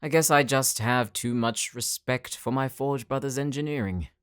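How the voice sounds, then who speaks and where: A man's voice: medium pitch, fairly even intonation, moderate pace, smooth, natural voice. An actor playing a defiant, cocky captive outlaw in an audio drama, sarcastic and theatrical in his taunts. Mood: mock apologetic. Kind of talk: dramatic audio roleplay acting.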